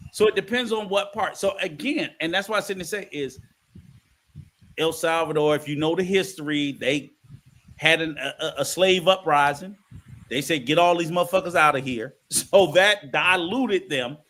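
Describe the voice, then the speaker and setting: An outspoken man with a high, bright voice that swings widely as he argues his point. He talks bluntly, swears freely and acts out little back-and-forth exchanges. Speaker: podcast co-host. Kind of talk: heated casual discussion.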